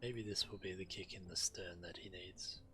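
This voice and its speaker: A man's voice: low voice, steady tone, normal speed, close to an everyday voice. A man voicing a suspicious, scornful walrus-folk guard from a fantasy game, curt and challenging. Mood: grudging, scornful. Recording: clear background game noise.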